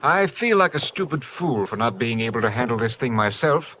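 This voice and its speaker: An earnest man of science played by a man speaks in formal, carefully worded sentences. His voice sits at a medium pitch. The sound is that of an old radio play with slight noise. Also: expressive, moderate pace, a bit bright, close to an everyday voice, rough recording. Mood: anxious, courteous. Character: earnest, rational male doctor, worried about brother. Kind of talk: old-time radio drama acting.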